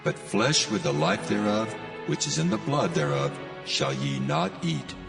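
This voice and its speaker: A solemn, commanding God played by a male actor with a heavily gravelly voice that rises and falls expressively. The declamation rings through long reverb over music in a dramatized scripture reading. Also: medium pitch, huge pitch swings, measured pace, heavily acted. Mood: stern, commanding. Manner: solemn, declamatory, archaic phrasing.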